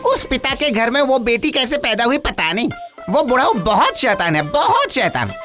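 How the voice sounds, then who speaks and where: Whiny lovesick cartoon ghost guy, high voice swooping around, music behind. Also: very animated, moderate pace, a bit bright. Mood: grumbling. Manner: pleading, dramatic, complaining. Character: lovesick young male ghost, eager, whiny, sulky. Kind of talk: animated comedy cartoon acting.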